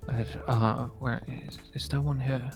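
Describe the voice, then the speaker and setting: A man playing a dry, skeptical demigod camper in improvised Minecraft roleplay, speaking in a hesitant, deadpan way. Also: mid-range voice, a little slow, gravelly, faint music behind.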